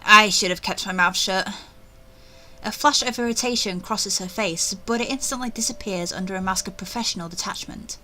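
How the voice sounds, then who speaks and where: A woman reading a visual novel aloud in first person, animated and chatty, with offhand asides. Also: medium pitch, normal speed, a little hiss or hum, low-quality recording.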